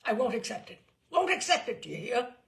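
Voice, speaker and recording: A woman's voice: fairly high pitch, sing-song, a little fast, gravelly, voice pushed up. A woman voicing a blustering, outraged old English general in a storybook reading, clipped and indignant. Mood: furious blustering.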